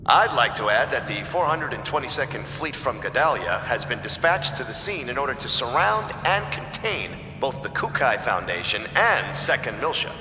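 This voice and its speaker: An actor playing an officious, self-assured government politician in a video game, formal and assertive. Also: male voice, medium pitch, sing-song, normal speed, few pauses, sharp tone, faint music behind. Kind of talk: video game cutscene debate. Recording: echoing hall.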